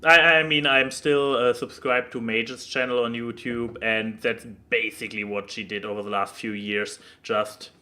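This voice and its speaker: A man hosting a casual podcast chat, speaking haltingly and often stammering and repeating words. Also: mid-range voice, steady tone, pushed and bright. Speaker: podcast host.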